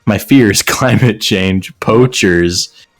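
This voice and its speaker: Low-voiced man riffing casually over faint music. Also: extremely slow, no echo. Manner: joking, sarcastic, breaks off reading to riff. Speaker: host reading and mocking fan fiction. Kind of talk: casual riffing commentary with friends. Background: faint background music.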